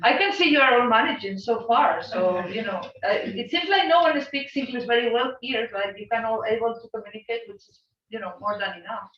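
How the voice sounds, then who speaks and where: A woman's voice: fairly high pitch, expressive, fairly quick, slight background noise. A woman hosting an online group session with informal introductions and a self-deprecating, joking manner. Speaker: host of an online student exchange session. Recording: roomy, distant, dull video-call audio.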